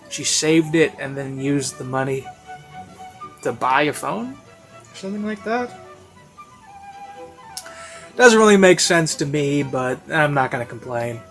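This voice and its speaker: A man giving a casual, unscripted review in a rambling, hesitant way, often interrupting himself. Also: a little high. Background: classical instrumental music.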